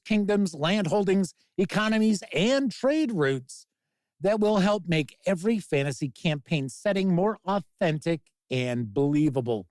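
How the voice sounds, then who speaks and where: Lively, jokey Chicago man hosting. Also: high voice, no echo. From Chicago, USA. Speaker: host. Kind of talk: casual livestream news chat.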